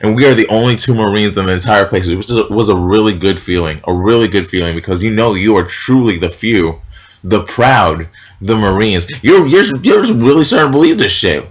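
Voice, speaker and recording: A young man with a low, heavy, loud voice tells a story in an animated, profane way, loudly acting out the lines he quotes. The recording sounds dull and lo-fi. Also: a little fast. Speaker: vlogger. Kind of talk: casual storytelling vlog.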